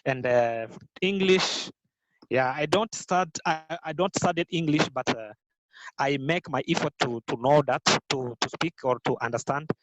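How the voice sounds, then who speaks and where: Slow, halting Rwandan man. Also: a little high, a little hiss or hum, low-quality recording. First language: Kinyarwanda. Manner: halting, many fillers and restarts, careful English. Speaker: guest, business founder. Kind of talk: podcast interview.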